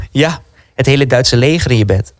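A man's voice: mid-range voice, expressive, moderate pace, no room sound, lightly acted. An actor playing a young man in a wartime radio drama, a sceptical and dutiful brother who is dry and reluctant. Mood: reproachful, wry.

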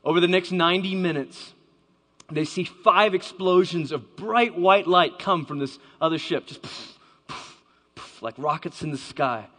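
A man's voice: fairly high pitch, a little slow, bright, forceful tone. A man giving a dramatic storytelling talk to a live audience, acting out the voices he quotes. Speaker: speaker. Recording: long hall reverb.

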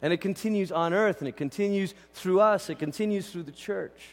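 Male pastor, from Malaysia, high voice, conversational teaching sermon, big reverberant hall. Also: brisk. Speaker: pastor preaching. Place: large indoor church hall.

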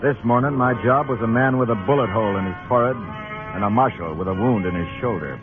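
An actor playing a world-weary, sardonic U.S. marshal in a noir radio Western. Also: male voice, mid-range voice, fast talker, over music, lightly acted. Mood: grim, weary. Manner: terse, sardonic. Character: hard-boiled, world-weary Western United States marshal. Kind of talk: noir radio drama narration and dialogue. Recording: tinny old radio recording.

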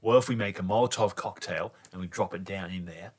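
A man in casual improvised tabletop role-play, speaking in a polite, mild, halting way. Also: deep voice. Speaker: tabletop game player.

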